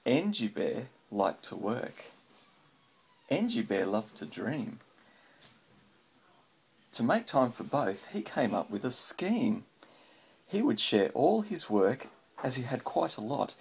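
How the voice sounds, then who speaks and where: Slow-paced dad reading a picture book aloud. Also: male voice, medium pitch, very animated, vocal fry, breathy edge. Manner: encouraging, prompting with questions. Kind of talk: read-aloud storytelling with casual chat to a child. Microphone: handheld phone. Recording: faint background noise, home phone recording.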